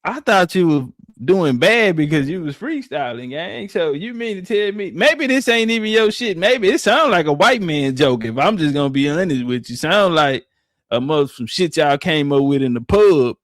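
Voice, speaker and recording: A man's voice: on the high side, animated, moderate pace, fairly bright tone, no echo, studio quality. A man giving brash, slangy, mocking reaction commentary straight to his viewers. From Southern United States. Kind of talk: casual profane reaction commentary.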